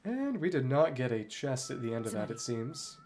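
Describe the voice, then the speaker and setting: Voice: mid-range voice, big pitch swings, normal speed, crowd noise. A game streamer with a wry manner, reading dialogue aloud and reacting with quips over video game music.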